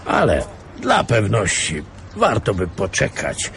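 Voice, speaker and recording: A world-weary, wry investigator in a radio drama. His creaky voice takes its time and swings widely in pitch, over clearly audible background noise. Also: wildly swooping pitch, slow, a bit bright, exaggerated voice. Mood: wry, teasing. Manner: wry, grunting, offhand. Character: sardonic, world-weary male veteran investigator advising younger detectives. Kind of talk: radio play dialogue.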